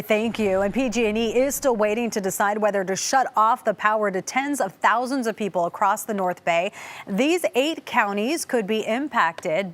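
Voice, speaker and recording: A woman news anchor reading scripted news in a broadcast delivery. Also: mid-range voice.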